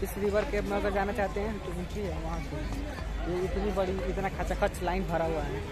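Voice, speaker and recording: A man's voice: high-pitched, fairly even intonation, normal speed. A young man gives casual, repetitive walking commentary, chatting informally to viewers outdoors on a handheld camera. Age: young adult. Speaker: travel vlogger. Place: outdoors in a busy amusement park. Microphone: phone or camera at arm's length. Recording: noisy outdoor crowd and vehicle din. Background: crowd chatter, outdoor ambience.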